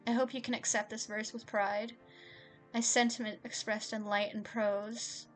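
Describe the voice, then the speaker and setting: A woman voicing a nervous, lovesick man in an audio story, with a halting, stammering, anxious way of talking. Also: on the high side, little pitch movement, soft background music, close to an everyday voice, poor audio. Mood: earnest, reciting.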